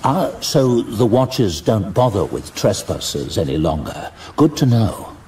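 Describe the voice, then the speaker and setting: A man's voice: lowish voice, unhurried, distant mic, slight background noise. A man doing a dry-witted old archmage. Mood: wry, light. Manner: light, dry, calm under pressure. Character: wry, determined older male archmage. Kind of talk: audiobook character voice.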